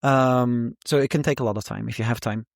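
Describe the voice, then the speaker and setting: A man with a Dutch accent speaks as an interview guest in a relaxed, thoughtful way, often doubling words while he finds his phrasing. His voice sits at a middle pitch. The sound is dry and close, with no room around it. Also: fairly quick, gravelly, dry recording. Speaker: author guest. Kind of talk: casual interview talk.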